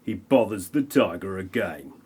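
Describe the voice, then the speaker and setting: A man's voice: sits low, expressive, moderate pace, darkish tone. A man narrating an amateur comedy audio play with wry, mocking asides.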